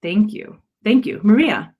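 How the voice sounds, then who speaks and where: A woman with a middle-pitched voice speaks as the host of an online panel. She delivers semi-prepared remarks with frequent pauses and repeated words. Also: animated, breathy edge, highs cut off. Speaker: panel host and adult education director. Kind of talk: hosting a virtual panel, semi-scripted remarks. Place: video call.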